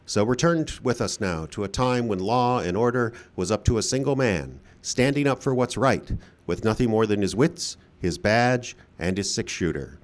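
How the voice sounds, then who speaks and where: A man's voice: on the low side, expressive, moderate pace. A man hosting and announcing a live radio theater show, delivering a scripted introduction. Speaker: host and announcer.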